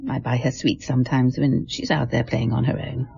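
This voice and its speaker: A woman's voice: rumbling low, fairly even intonation, moderate pace, gravelly, mellow, slightly rough, lo-fi sound, faint music behind, exaggerated voice. A woman playing a fussy, affectionate middle-aged housewife in a radio drama. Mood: chatty.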